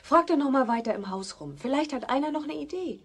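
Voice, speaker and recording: A woman's voice: high-pitched, lively intonation, quick pace. A woman playing an easygoing, helpful neighbour in a children's radio play, casual and chatty.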